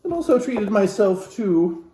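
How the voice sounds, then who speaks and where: Casting: man, mellow and fairly high-voiced, florid mock-genteel host reading a book aloud for laughs, dramatic pauses. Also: sing-song. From Alaska. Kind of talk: comedic theatrical book reading with asides to viewers. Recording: roomy, echoey room sound.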